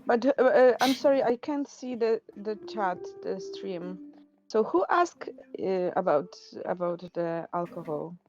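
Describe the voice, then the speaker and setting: A woman from Poland with a Polish accent, talking slowly and haltingly with many restarts in casual chat on a game stream. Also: mid-range voice, expressive, darkish tone, soft background music. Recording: dull lo-fi stream audio.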